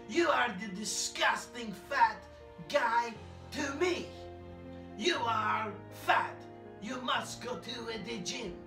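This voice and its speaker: A performer voicing a boastful, nervous male immigrant poet who talks in broken English and preaches in blunt, repetitive lines, in a prerecorded comedy bit. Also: mid-range voice. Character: boastful male immigrant poet named Sergio, anxious about deportation. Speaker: comedy character reciting poems. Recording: echoey, distant, music underneath.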